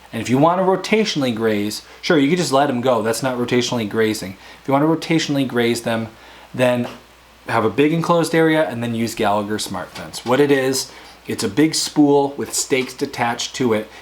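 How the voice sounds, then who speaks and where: A man hosting a homesteading Q&A, answering viewer questions in a casual, rambling way with a hoarse voice. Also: mid-range voice. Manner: rambling, conversational, plain-spoken. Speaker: homesteading channel host.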